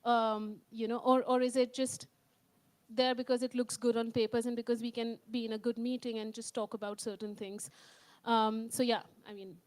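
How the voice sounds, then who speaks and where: A woman panelist with a fairly high voice. She speaks earnestly and with emphasis, posing rhetorical questions to the room. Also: level tone, moderate pace, darkish tone. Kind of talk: panel discussion answer.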